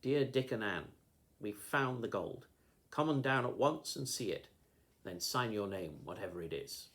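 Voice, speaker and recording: A man's voice: lowish voice, expressive, measured pace, fairly bright tone. A man reading a storybook, voicing a cold, threatening adult male kidnapper. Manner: cold, commanding.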